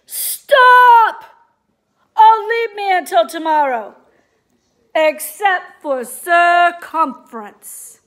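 A woman's voice: very high voice, a bit bright, voice pushed up, exaggerated voice. A woman doing a commanding storybook king, declamatory. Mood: angry. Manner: declamatory, measured proclamations. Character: commanding, fair-minded storybook king addressing his knights.

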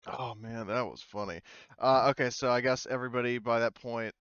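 A man's voice: mid-range voice, fairly even intonation, a little fast, a bit bright, clear, resonant tone, dry recording, dull audio. A man running a tabletop game as game master, casual and easily amused. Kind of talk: casual game narration and chat.

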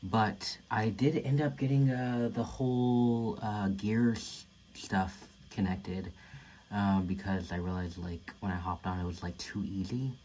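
A man's voice: on the low side, fairly even intonation, unhurried, a little mellow, low-quality recording. A young man in his twenties talks loosely to his camera while setting up a home gym, with lots of fillers and casual swearing. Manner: rambling, loose and cursing, with many fillers. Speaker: vlogger. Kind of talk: casual chat. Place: small home gym and room with colored lights, indoors. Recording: clicks and clear room noise.

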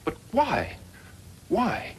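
An actor playing an earnest, plucky young mine heir in a 1950s western radio drama, on a noisy old recording. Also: male voice, sits high, wildly swooping pitch, extremely slow, full character voice. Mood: indignant.

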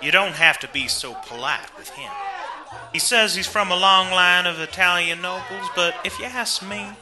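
A man's voice: high-pitched, moderate pace, a crowd in the background, natural voice. A man playing a hot-tempered, blunt and domineering husband with a brash, coarse manner in an amateur radio play. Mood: irritated, brash.

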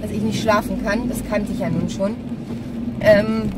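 A woman's voice: medium pitch, fairly even intonation. Woman vlogging in a noisy car. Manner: distracted, grumbling asides, halting. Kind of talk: casual vlog chat while driving. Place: inside a small car while driving. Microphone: phone or camera mounted on dashboard. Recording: distant mic, loud car and road noise. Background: car and traffic noise, navigation voice.

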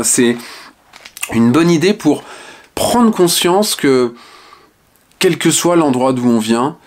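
A man in his fifties from the Ardennes, frankly telling his life story to the camera at home with hesitant fillers. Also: medium pitch. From Ardennes, France. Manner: frank and reflective, many hesitant "euh" fillers. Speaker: author and online speaker. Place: small home room with shelves and lamp.

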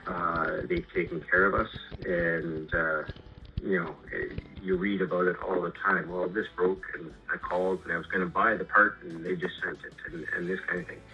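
A middle-aged Canadian man chatting casually and haltingly about his projects over a muffled phone call, rambling and repeating words. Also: deep voice, level tone, sharp tone. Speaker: homeowner and sawmill customer. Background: music.

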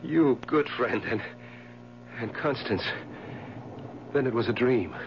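An actor playing a haunted, anxious husband in a 1970s radio drama, speaking in halting, repeated, melodramatic phrases. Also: male voice, medium pitch. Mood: shaken, dread. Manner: halting, repetitive, melodramatic delivery. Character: haunted, nerve-shattered husband, anxious and obsessive. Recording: muffled, hissy 1970s radio broadcast recording.

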